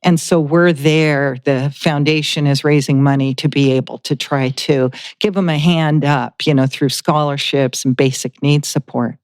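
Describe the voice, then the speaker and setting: An American woman talks as an interview guest in a smooth, clear voice with a dry, close sound. Her delivery is conversational, earnest and slightly hesitant. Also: deep voice, fairly even intonation, normal speed, few pauses, a little mellow, dry recording. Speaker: foundation board president, guest.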